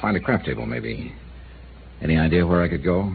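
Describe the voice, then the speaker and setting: A man's voice: low voice, lively intonation, brisk, creaky. An actor playing a dry, persistent male insurance investigator in a 1950s radio drama, asking pointed questions with a wisecracking edge. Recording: tinny old radio broadcast with hiss.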